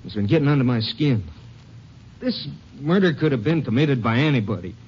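A man's voice: medium pitch, expressive, a little fast, slightly rough. An actor playing a defensive, touchy small-town constable in a 1950s radio drama. He sounds agitated and defensive. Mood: grudging. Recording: muffled vintage radio broadcast.

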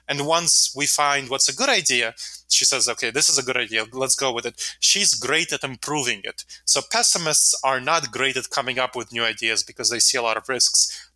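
A man's voice: medium pitch, bright, forceful tone, dry recording, poor audio. A man whose first language is Russian, speaking as an author and podcast guest, explaining his ideas earnestly with frequent fillers. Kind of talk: podcast interview answers.

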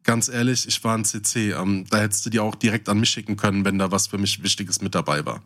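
A man's voice: lowish voice, fast, fairly bright tone. A man hosting a podcast, telling anecdotes in a casual, slangy, jokey way. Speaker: podcast host. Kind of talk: casual comedic chat and anecdotes.

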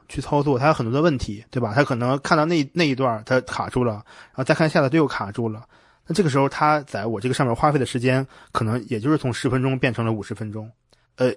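A man's voice: medium pitch, no room sound, clean studio sound. A man hosting a casual podcast conversation, explaining his reasoning step by step and often checking for agreement. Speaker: podcast host.

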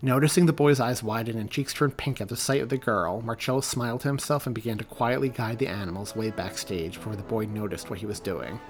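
A man, the author, reading his own story aloud in a steady, even audiobook narration. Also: fairly low pitch, fairly quick, hardly any pauses, soft background music.

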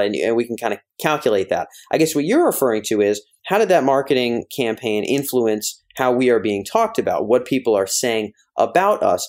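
A man's voice: mid-range voice, darkish tone. An American man hosting a conversational interview, wordy and informal, thinking aloud as he rephrases things. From United States. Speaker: podcast host interviewing a guest.

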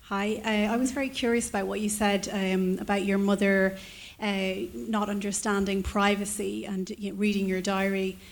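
A woman's voice: mid-range voice, little pitch movement, moderate pace. A woman from the audience asking a curious question, with fillers.

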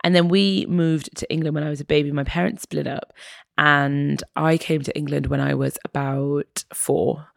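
A woman's voice: deep voice, normal speed, creaky, no room sound, clean studio sound. A young woman from Egypt, raised in northern England, talking casually as a podcast guest, chatty and full of "like" and "right?". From Egypt, raised in Newcastle upon Tyne. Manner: chatty, animated, fills with "like" and "right?". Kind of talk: casual conversation.

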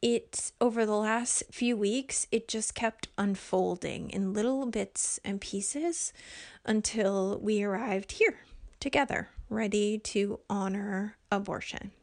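A woman speaks slowly and unhurriedly, her voice soft and hushed, often dropping to a near whisper. She hosts her own podcast, recorded at home late at night, and talks in an earnest, gentle way with plenty of ums. Her pitch sits in the middle of the range. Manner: gentle and earnest, with frequent ums and light laughs. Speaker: podcast host and life coach. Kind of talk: casual solo podcast talk.

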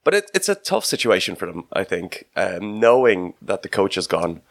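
A man giving casual sports analysis on a podcast, often halting as he thinks aloud. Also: deep voice, big pitch swings, vocal fry, no echo, studio quality. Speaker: podcast host.

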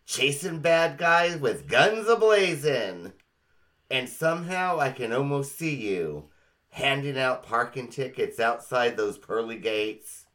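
A man's voice: mid-range voice, lively intonation, slow, a bit bright. A man co-hosting a casual chat show with readings of poems, easily moved and prone to breaking up. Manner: emotional, breaks up laughing and tearing up. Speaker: podcast co-host.

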